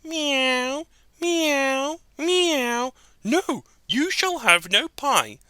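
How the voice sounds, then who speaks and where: Someone squeaking as three little whiny kittens. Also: big pitch swings, unhurried, pushed and bright, no echo, clean studio sound, exaggerated voice. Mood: pleading. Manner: high squeaky meows.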